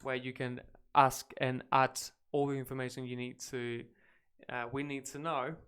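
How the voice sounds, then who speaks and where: Spanish-born English teacher on a live stream, British-leaning accent, lively chatty delivery, mid-pitched voice. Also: male voice, moderate pace, smooth. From Spain. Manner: energetic, chatty, reacting to clips.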